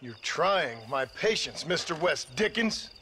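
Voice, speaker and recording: An actor playing a curt, impatient and menacing Old West gunslinger in a video game. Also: male voice, a little high, lively intonation, a little slow, sharp tone, slight background noise. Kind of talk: dramatic video game acting.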